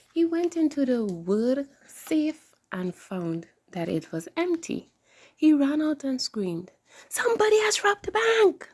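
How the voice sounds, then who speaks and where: A woman hosting a children's story time, reading aloud in an animated, expressive way. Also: medium pitch, breathy edge.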